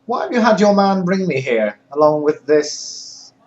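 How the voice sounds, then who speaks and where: An actor playing a polite, wary young knight-magus, formal and deferential, in a fantasy audio drama. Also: male voice, high-pitched, animated, measured pace. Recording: slightly dull, muffled sound.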